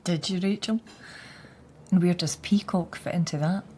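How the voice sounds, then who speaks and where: An actress playing a steady, coaxing Scottish police detective who questions people in a radio crime drama. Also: female voice, fairly low pitch, normal speed, slight background noise, natural voice. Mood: probing. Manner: coaxing, probing.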